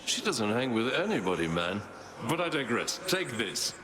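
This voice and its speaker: A man's voice: mid-range voice, sing-song, normal speed, lightly acted. A man voicing a laid-back, chummy party god in a video game, casual and chatty. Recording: loud crowd behind.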